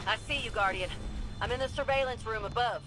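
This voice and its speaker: A woman's voice: a little high, a little fast, natural voice. A woman playing a brash, plucky, folksy pilot in a video game. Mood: brash, upbeat. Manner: folksy, slangy, punchy. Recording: loud music over the voice.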